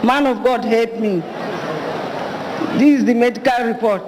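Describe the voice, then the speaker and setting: A woman from Adamawa State in Nigeria making a halting public plea in church. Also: on the high side. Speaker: congregant pleading for prayer. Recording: reverberant hall, crowd and noise.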